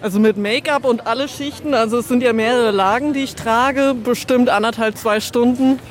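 A woman's voice: fairly high pitch, moderate pace. A woman cosplayer giving short, matter-of-fact answers in an interview outdoors at a convention.

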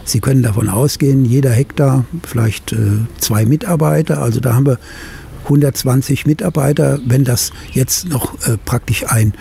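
Older Rhineland man, halting and gravelly. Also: mid-range voice, dark tone, slight background noise. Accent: Rhineland German. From Middle Rhine, Germany. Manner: halting, frequent filler sounds. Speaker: winery owner. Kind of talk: hesitant interview answers. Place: outdoors at a vineyard.

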